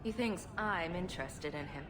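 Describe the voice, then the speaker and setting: A woman's voice: fairly low pitch, lively intonation, normal speed, noisy background, natural voice. A woman playing a sarcastic, teasing and confident rogue adventurer in a video game cutscene, glib in her delivery. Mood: firm.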